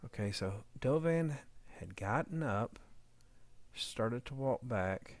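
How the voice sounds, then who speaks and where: A man's voice: medium pitch, expressive, slow, no room sound. A man giving a casual editing tutorial, informally thinking aloud as he works. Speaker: audio drama editor demonstrating editing.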